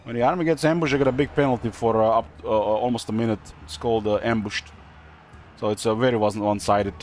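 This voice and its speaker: A young man commenting on his strategy game play in a halting way, with many fillers and self-corrections, while losing his voice. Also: medium pitch, fairly even intonation, darkish tone, a little hiss or hum. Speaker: game streamer. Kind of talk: casual gameplay commentary.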